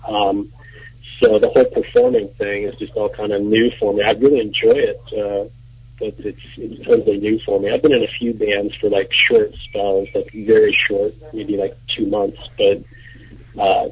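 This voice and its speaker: Husky thirties man, relaxed and rambling. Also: fairly low pitch, moderate pace. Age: 30s. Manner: relaxed, rambling, many fillers. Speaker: band member, producer. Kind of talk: casual radio interview. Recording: muffled lo-fi radio recording.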